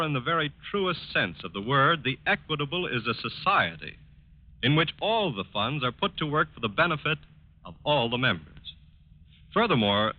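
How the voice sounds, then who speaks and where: A man working as a 1940s radio announcer, delivering a sponsor message in a formal, emphatic way. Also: a little high. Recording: dull vintage radio recording.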